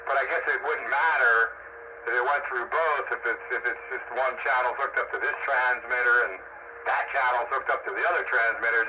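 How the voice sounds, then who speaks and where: Man, medium pitch, casual radio hobbyist talking things through; dull lo-fi sound with clear noise. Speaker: radio hobbyist asking for advice. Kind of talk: casual technical chat over radio. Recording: dull, noisy lo-fi radio transmission.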